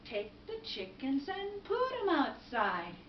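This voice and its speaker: A woman voicing a calm, self-assured wise old woman in a folktale, musing and then giving firm instructions. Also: sits high, exaggerated voice. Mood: calm, instructing. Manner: hesitant oohs, then firm slow instructions. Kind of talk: oral folktale storytelling. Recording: distant mic, echoey room, dull, faint noise.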